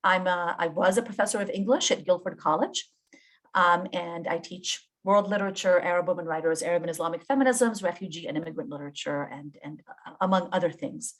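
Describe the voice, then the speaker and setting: A woman from Jordan, a professor and guest speaker, giving a presentation on a video call in a warm, effusive way with frequent ums. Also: fairly low pitch, brisk, poor audio.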